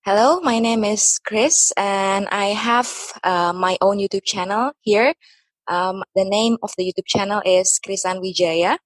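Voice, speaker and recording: A woman from Indonesia, a podcast guest speaking English haltingly with many pauses. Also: medium pitch, no room sound. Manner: hesitant, many "uh" and restarts. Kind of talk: casual podcast chat.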